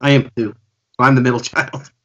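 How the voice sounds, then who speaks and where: A man's voice: mid-range voice, flat delivery, moderate pace, fairly bright tone, lo-fi sound. A man in his thirties from Connecticut, chatting easily as a co-host on a video call. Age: 30s. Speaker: podcast co-host. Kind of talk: casual video call chat. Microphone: video call webcam.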